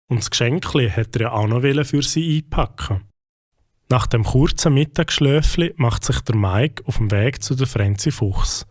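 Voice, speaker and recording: A man narrating a children's cartoon calmly with a Swiss German accent. His voice sits mid-pitch and is recorded dry and close. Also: no room sound. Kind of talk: storybook narration.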